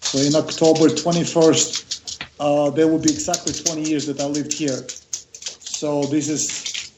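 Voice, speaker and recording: A man's voice: on the high side, little pitch movement, a little slow, reverberant, noisy background. A man from Siberia, Russia, speaking as an interview guest and telling stories in a friendly manner.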